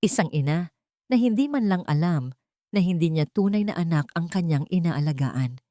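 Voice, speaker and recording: A woman with a low voice narrates a dramatic audiobook. Her phrasing is solemn and deliberate, and the sound is dry, with no room echo. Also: animated, mellow. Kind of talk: scripted dramatic story narration.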